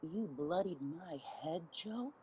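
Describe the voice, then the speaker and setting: A woman's voice: lowish voice, expressive, slow, highs cut off, slight background noise. A woman playing a defiant, needling homeless woman in a dramatic play reading, confrontational and sardonic. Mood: wounded, incredulous.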